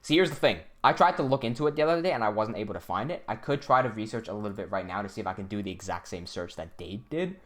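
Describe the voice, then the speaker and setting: A man's voice: fairly low pitch, animated, fast talker, hardly any pauses, a bit bright. A young man from Hong Kong hosting a casual livestream, thinking aloud and reading out chat messages, with frequent restarts.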